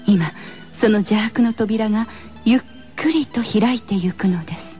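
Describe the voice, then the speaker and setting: A woman's voice: mid-range voice, measured pace, mellow, muffled recording, faint music behind. A woman hosting a radio show, moving between scripted introductions and friendly chat.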